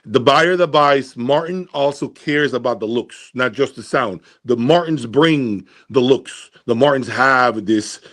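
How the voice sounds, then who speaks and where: Male gear reviewer, mid-pitched voice, casual and opinionated host delivery, deliberate, with emphatic repetition. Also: fairly bright tone. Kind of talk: casual opinionated commentary to viewers.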